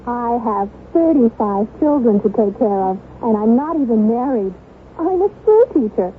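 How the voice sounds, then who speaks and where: A woman's voice: fairly high pitch, expressive, normal speed, a little hiss or hum. A woman giving a conversational scripted testimonial in a radio advertisement. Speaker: coffee commercial testimonial speaker. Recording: tinny radio broadcast, loud music behind.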